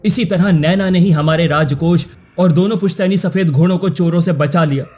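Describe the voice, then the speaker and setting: Cartoon prince, righteous and formal, male actor, slightly high, mellow and earnest. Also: normal speed. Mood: earnest explaining. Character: righteous young prince defending a falsely accused girl. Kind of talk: Hindi cartoon moral-story dialogue.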